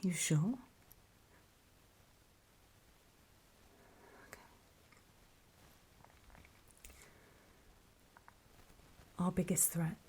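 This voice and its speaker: A woman playing a wary, battle-worn survivor of a zombie apocalypse, speaking in a breathy, deliberate whisper in an ASMR horror roleplay. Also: on the low side, exaggerated voice, low-quality recording. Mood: urgent, resolute. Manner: hushed, deliberate, halting storytelling with pauses.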